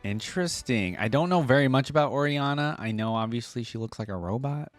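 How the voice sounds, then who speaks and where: A man's voice: mid-range voice, lively intonation, a little fast, faint music behind. A man giving casual, slangy reaction commentary as a video host, with appreciative interjections.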